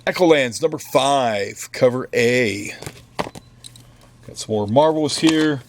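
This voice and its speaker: Slow, easygoing man showing off comics. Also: mid-range voice, slight background noise. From United States. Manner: offhand, joking asides while flipping through comics. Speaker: comic shop owner. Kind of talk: casual product run-through. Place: comic book store.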